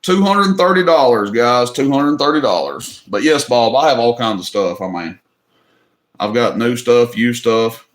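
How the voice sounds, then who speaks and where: A man's voice: medium pitch, animated, measured pace, roomy sound. A middle-aged man with a Southern accent from Tennessee, hosting a live online auction in a folksy, joking way. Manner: folksy, joking, drawling, says y'all. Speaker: livestream host running an auction. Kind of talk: casual livestream chat.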